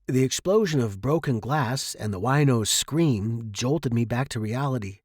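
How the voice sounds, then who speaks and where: A man's voice: mid-range voice, lively intonation, unhurried, a little mellow, no room sound, studio quality. A man reading a first-person short story dramatically, as its narrator.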